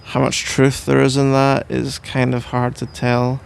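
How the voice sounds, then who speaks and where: A man's voice: medium pitch, monotone, measured pace, vocal fry, smooth. A man playing a sleepy, cuddly husband in an intimate ASMR roleplay, drowsy, affectionate and rambling, with playful melodrama. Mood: musing, rambling.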